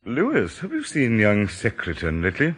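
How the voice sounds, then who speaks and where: A man's voice: on the low side, huge pitch swings, moderate pace, husky, faint music behind, exaggerated voice. A man playing a concerned, chatty villager in a radio drama, earnest and a little hesitant. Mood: uneasy, chatty.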